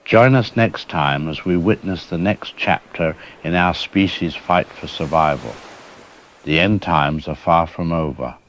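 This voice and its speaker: A man playing a grave, portentous announcer for an apocalyptic radio drama serial, speaking in measured phrases. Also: low-pitched, fairly bright tone, faint music behind. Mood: ominous, solemn.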